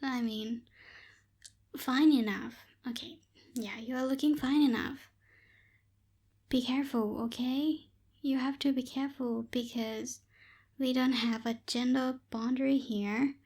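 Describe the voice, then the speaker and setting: A young woman voicing a bossy but secretly caring tsundere witch in a close-miked ASMR roleplay, softly scolding and a little hesitant. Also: sits high, fairly even intonation, a little slow, long pauses. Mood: flustered, cautioning. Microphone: close binaural microphone.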